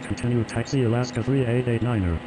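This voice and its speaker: Flight game radio role, played by a man: procedural, formulaic, businesslike, average pitch, noticeably noisy recording. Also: fast, natural voice. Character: businesslike male aviation radio operator in game. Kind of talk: clipped procedural radio phraseology. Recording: noisy game capture, outdoor vehicle rumble.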